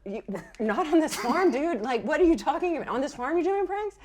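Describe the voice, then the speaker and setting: A woman's voice: high-pitched, expressive, a crowd in the background, pitched above the performer's own voice. A woman as a baffled, slangy farm guard. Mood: baffled, teasing. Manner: halting, slangy, repeats words. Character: confused, chatty farm guard, casual and skeptical. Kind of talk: improvised tabletop roleplay.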